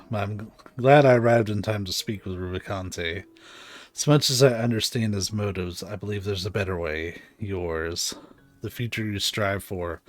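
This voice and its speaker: A man's voice: lowish voice, close to an everyday voice. A man reading aloud the lines of an earnest fantasy game character, in formal wording.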